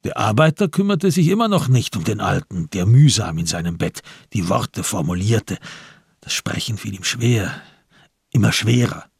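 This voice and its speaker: Husky, low-voiced male radio drama narrator. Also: lively intonation, normal speed, no room sound, clean studio sound.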